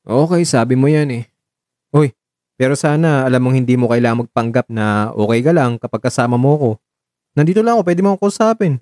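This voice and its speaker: A man playing a caring, gently teasing young man in love in a romantic radio drama, speaking in an expressive, coaxing way. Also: mid-range voice, fairly quick, no room sound, clean studio sound, lightly acted. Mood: gently reassuring.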